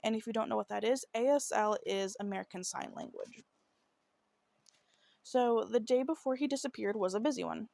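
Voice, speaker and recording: A woman hosting a true crime podcast with a slightly rough voice, narrating a case from notes in an apologetic way and often tripping over her words. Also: on the high side, moderate pace, mellow, no echo. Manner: apologetic, trips over words, many fillers.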